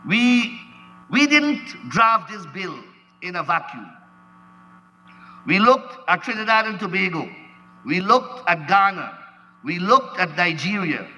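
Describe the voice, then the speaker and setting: A man arguing a point forcefully in a parliamentary debate, using rhetorical questions. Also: high-pitched, sing-song, takes it slowly, pauses often, in a large hall. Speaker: attorney general. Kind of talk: parliamentary speech.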